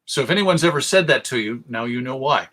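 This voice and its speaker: A man's voice: mid-range voice, animated, fairly quick, a bit bright. A man presenting an informal history talk as host, enthusiastic and emphatic as he lists examples. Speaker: presenter, radio show host.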